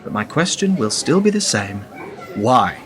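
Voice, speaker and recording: A man's voice: medium pitch, very animated, unhurried, crowd noise. A man acting a jaded, sceptical would-be leaker in a radio play, speaking in a challenging, sardonic way. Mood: weary, challenging.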